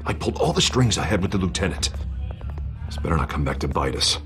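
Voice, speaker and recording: A loyal, cautious politician in a video game, supportive yet exasperated. His low voice moves expressively up and down, with music clearly audible behind it. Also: very animated, fast talker, fairly bright tone, heavily acted. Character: wary, loyal male politician, worried about appearances.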